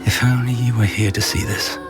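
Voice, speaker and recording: An actor playing an earnest older wizard professor and mentor, speaking respectfully and with measured care. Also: male voice, mid-range voice, steady tone, loud music behind the voice, lightly acted. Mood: awed.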